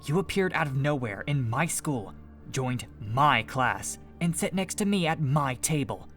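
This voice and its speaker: A man playing a suspicious, exasperated young male student in an animated roleplay, confrontational in manner. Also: mid-range voice, measured pace, faint music behind. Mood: indignant. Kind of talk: scripted animated roleplay acting.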